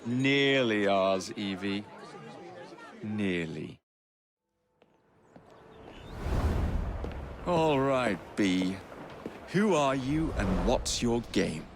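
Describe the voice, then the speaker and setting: An actor playing a cocky young Victorian London assassin with an English accent, dry and cheeky. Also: male voice, on the low side, lively intonation, very slow, a crowd in the background, noisy background, full character voice. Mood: wry. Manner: dry, cheeky, self-assured.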